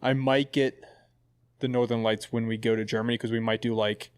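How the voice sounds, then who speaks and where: A man's voice: mid-range voice, little pitch movement, fairly quick, smooth, no echo. Rambling young man hosting a podcast. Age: 20s. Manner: rambling, self-correcting, jokey. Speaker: podcast host. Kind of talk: casual podcast chat. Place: lodge. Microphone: podcast mic.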